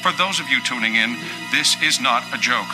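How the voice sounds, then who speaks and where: An actor playing a shaken American TV newscaster in a video game, delivering an emergency bulletin in a formal, halting, grave manner. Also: male voice, medium pitch, close to an everyday voice. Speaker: news anchor. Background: loud music.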